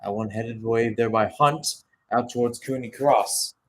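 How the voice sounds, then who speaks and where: Male sports commentator for live football radio call; mid-pitched, halting in places, self-correcting, surging with the play. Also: a bit bright.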